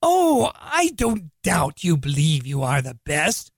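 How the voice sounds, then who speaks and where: Man reading a smooth-talking street trader, flattering, husky and animated. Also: a little high, slow, no echo, clean studio sound, heavily acted. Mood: sly. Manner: wheedling, flattering.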